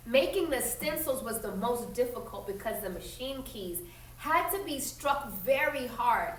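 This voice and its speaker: A woman with a high voice reads aloud like a teacher to young children, stressing key words and stepping out to explain or question. She is instructive and expressive. The sound is roomy and slightly distant, with faint noise behind her. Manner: emphatic, instructive, checks understanding with questions. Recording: echoey room, distant mic, faint noise.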